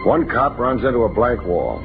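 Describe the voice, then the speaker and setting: An actor playing a wisecracking, hard-boiled private detective of about forty in an old radio drama, wry and clipped. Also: male voice, medium pitch, sing-song, moderate pace. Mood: wry narrating. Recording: dull old radio recording with music behind.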